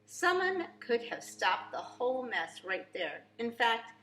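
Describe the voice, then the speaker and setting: A woman reads a children's story aloud like a preschool teacher, with expressive, emphatic, theatrical phrasing. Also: fairly high pitch, slow pace, soft background music. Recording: echoey room, faint background noise.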